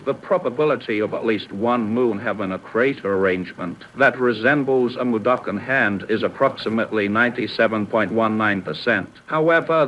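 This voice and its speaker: A dry alien scientist, voiced by a man reads a formal report at a medium pitch. The delivery is clinical and dismissive, and the analog horror recording is muffled, with some noise. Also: natural voice. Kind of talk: scripted scientific report reading. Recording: dull, noisy VHS-style audio.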